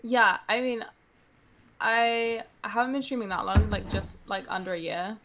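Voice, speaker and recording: A woman's voice: a little high, normal speed, a little hiss or hum. An eighteen-year-old woman chatting casually on a webcam video call from her bedroom, full of fillers. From London. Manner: relaxed, filler-heavy, polite. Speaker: dating show contestant, streamer. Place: bedroom with a Christmas tree, indoors.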